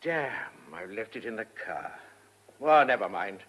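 A man's voice: on the low side, lively intonation, measured pace, a bit bright. An older man acting in a radio drama as a genial, chatty farmer and father, hospitable and hearty. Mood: genial, wry.

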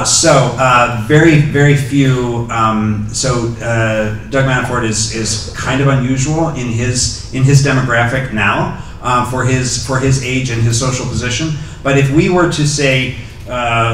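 A man's voice: mid-range voice, fairly bright tone, a little hiss or hum. A middle-aged man speaking at a podium into a handheld mic, answering audience questions hesitantly, with many ums and restarts. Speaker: guest lecturer. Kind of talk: lecture Q&A answers. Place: lecture hall with a podium. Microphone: handheld microphone. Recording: echoey hall audio from far off.

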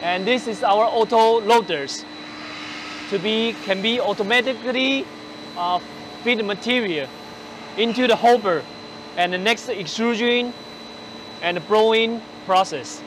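A man in his forties presenting machinery on a large factory floor, speaking haltingly with many fillers and restarts, using a lapel mic. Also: high voice, background noise. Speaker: company presenter on a factory tour. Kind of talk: product walkthrough.

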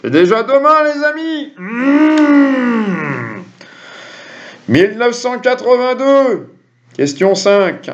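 Middle-aged male quiz host, high voice, jokey asides, dull lo-fi webcam recording. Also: big pitch swings, drawn-out words, pauses often. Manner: jokey, chatty asides to viewers. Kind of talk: reading quiz questions to camera. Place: small room at home. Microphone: webcam or computer mic at desk.

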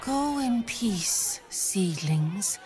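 A woman voicing a solemn, tender, mystical nature priestess in a video game, speaking in a ceremonial, poetic, often breathy manner. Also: mid-range voice, expressive, extremely slow, darkish tone, soft background music. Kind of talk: video game character acting.

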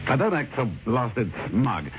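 An actor playing a sullen, jealous husband nearing forty, terse and irritable, in an old radio drama. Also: male voice, medium pitch, big pitch swings, measured pace. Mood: irritated, suspicious. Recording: muffled, noisy old radio recording.

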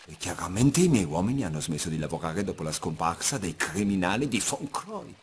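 A man's voice: big pitch swings, a little fast. A man playing an earnest, worried ally and dig leader in a fan-dubbed game cutscene, speaking with urgency. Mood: grave, explaining. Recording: noisy, low-quality amateur dub.

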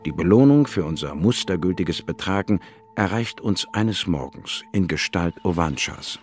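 An actor playing an inquisitive, wry male biologist, narrating in a measured, dry manner in a radio drama. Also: low voice, lively intonation, soft background music. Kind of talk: scripted first-person radio drama narration.

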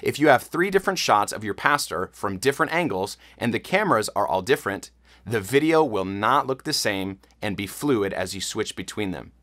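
A man in his thirties giving a clear, methodical scripted tutorial to the camera in a studio. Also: fairly low pitch, expressive, normal speed, a bit bright. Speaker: church tech instructor. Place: studio in front of a blue backdrop.

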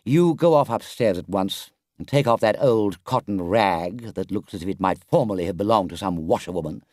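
Man playing a stern, animated storybook water rat. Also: mid-range voice, moderate pace, no room sound, studio quality, voice pushed up. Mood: stern, scolding. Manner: grave, firm, scolding, emphatic. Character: stern, sensible male water rat, loyal friend.

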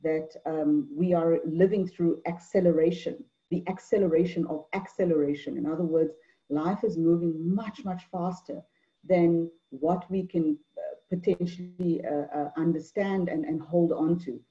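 A middle-aged woman from Mauritius gives an online talk on a video call, measured, with many fillers and repeated words. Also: fairly low pitch, steady tone, mellow. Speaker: anthropologist and poet speaker. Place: indoor room at home. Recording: dull lo-fi video call audio.

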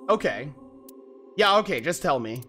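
A man's voice: fairly high pitch, big pitch swings, moderate pace, sharp tone, slightly rough. A man chatting casually as a livestreamer, slangy and full of swearing. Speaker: game streamer. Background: game music.

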